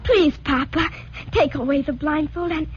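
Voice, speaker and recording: A woman's voice: high-pitched, measured pace, faint music behind. An actress playing a grateful little immigrant girl who speaks earnestly and a bit formally, in a 1940s radio drama. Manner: earnest, slightly formal speech. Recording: dull old radio broadcast.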